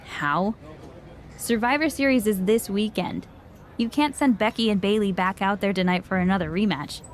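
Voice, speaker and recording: A woman's voice: mid-range voice, normal speed, close to an everyday voice. A woman playing a wry, sceptical confidante in a video game, conversational and sardonic. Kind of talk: video game cutscene dialogue.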